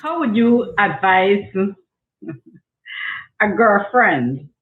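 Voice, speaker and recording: A woman's voice: medium pitch, animated, extremely slow. A woman reading out an interview question on a talk show, over a roomy, thin phone-like connection.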